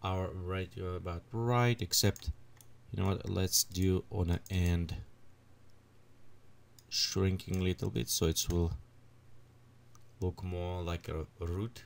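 A man with leading a software tutorial at his computer, thinking aloud as he adjusts settings. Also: deep voice, steady tone, gravelly. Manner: unhurried, thinks aloud while clicking through settings. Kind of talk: screen-recorded software tutorial.

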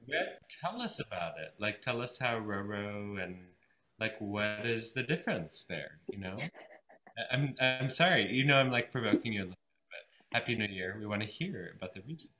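A man hosting an online event, chatty and effusive, rambling warmly to guests and viewers over a video call. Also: on the low side, expressive, moderate pace, a little hiss or hum. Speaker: event host. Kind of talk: enthusiastic live event hosting.

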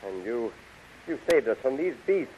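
An actor playing a dazed, grateful prince in a 1930s radio serial. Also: male voice, mid-range voice, very animated, normal speed, darkish tone, natural voice. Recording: dull, hissy 1930s radio recording.

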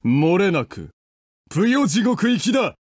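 An actor voicing a grandiose, imperious demon lord who styles himself a dark prince in a game. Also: male voice, sits high, sing-song, a little slow.

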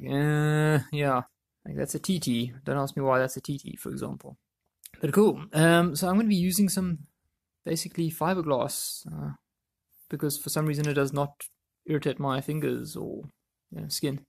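A man presenting a hands-on how-to in a casual, offhand way, thinking aloud as he works, with a husky, creaky voice. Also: mid-range voice, steady tone, moderate pace. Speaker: DIY video presenter.

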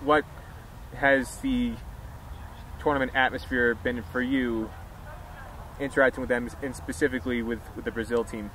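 A man interviewing athletes after a match, putting questions in measured, deliberate phrases, recorded outdoors. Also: medium pitch, steady tone, a bit bright, rough recording. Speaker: sports interviewer. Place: outdoor sports ground. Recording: outdoor background noise.

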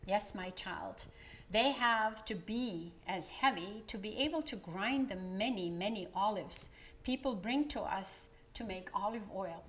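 A woman's voice: mid-range voice, measured pace, dull audio, lightly acted. A woman voicing a kindly, patient olive mill man in a children's storybook, explaining things in a hearty, instructive way. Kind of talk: children's storybook character reading.

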